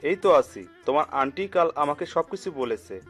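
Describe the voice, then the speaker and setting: Man playing hearty uncle, higher-pitched. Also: expressive, moderate pace. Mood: reassuring. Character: kindly, generous middle-aged uncle, girlfriend's father. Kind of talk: audio story acting. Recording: music under the voice.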